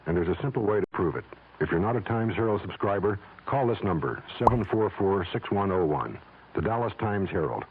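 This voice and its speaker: An American man announces a radio commercial in a low, husky voice. His scripted pitch is lively and swings widely. The sound is narrow and tinny. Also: fairly quick. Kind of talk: scripted ad read. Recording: tinny, phone-like radio recording.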